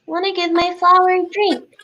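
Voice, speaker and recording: A sweet but stubborn little girl in a radio drama. The voice is extremely high and childlike, heard through slightly dull, lo-fi audio. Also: level tone, normal speed, bright, forceful tone. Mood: innocent, insistent. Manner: childlike, insistent. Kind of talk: live radio play reading.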